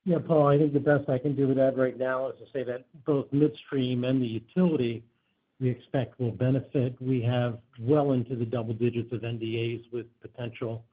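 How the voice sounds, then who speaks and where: A male company executive answers questions on an investor call in measured business language. His voice is husky and sits at an average pitch. The line is thin and phone-like. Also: fairly even intonation. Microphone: conference phone call. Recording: narrow tinny phone line.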